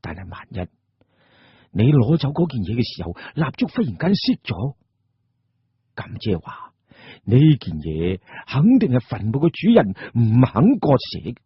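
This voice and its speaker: Male Cantonese storyteller: very raspy, suspenseful dramatic narration, deliberate pauses, dull close dry sound. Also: mid-range voice, huge pitch swings, no room sound. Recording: muffled, dull audio.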